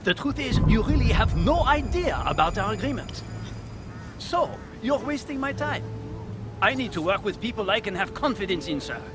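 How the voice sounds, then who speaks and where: An actor playing a haughty, condescending French aristocrat in formal, dramatic video game dialogue. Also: male voice, high voice, sing-song, normal speed. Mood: impatient, condescending. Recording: music behind the voice.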